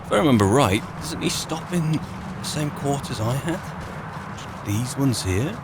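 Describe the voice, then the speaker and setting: A man's voice: medium pitch, expressive, unhurried, noisy background, lightly acted. A man roleplaying a wry, boastful archmage adventurer, muttering sardonic asides in colloquial speech as he plays. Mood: musing. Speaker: roleplaying gamer narrating in character as an adventurer mage. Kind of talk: in-character game roleplay commentary.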